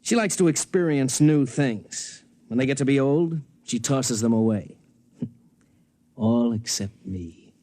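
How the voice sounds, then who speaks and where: A man's voice: medium pitch, animated, slow pace, dark tone. An actor playing a callous, casual young man in a 1950s radio drama, offhand and blunt. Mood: dismissive.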